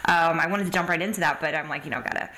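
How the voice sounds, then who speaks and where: Female podcast interviewer, lower-than-average pitch, casual and chatty delivery. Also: racing, noisy background. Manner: chatty, informal.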